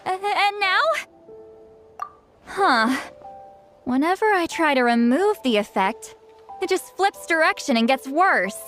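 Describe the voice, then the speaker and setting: A woman's voice: sits high, animated, takes it slowly, long pauses, a bit bright, exaggerated voice. A woman voicing an aloof, socially awkward shaman in an anime game, youthful-sounding and easily flustered. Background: faint music.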